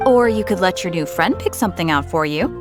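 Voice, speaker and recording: A woman's voice: lowish voice, lively intonation, fast talker, over music, lightly acted. A woman playing a cheerful, eager shopkeeper in a video game, gushing and polite. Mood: friendly, selling. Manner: bright sales patter, gushing, polite. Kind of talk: English video game voice acting.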